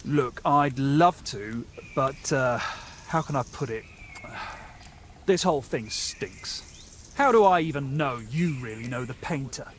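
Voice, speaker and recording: An actor playing a nervous, gullible young medieval bandit in a game, with a hesitant, stammering, excitable way of talking. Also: male voice, medium pitch, takes it slowly, slight background noise. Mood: reluctant, defensive. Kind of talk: video game dialogue acting.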